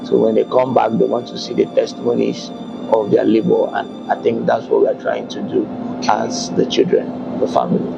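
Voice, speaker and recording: A man with a low, gravelly voice speaks slowly and carefully as an interview guest, often pausing to collect his thoughts. He comes from Gabon and speaks as a prophet. The sound is dull and lo-fi, with clear music playing behind him. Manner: measured, hesitant with many ums. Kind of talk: calm interview answers.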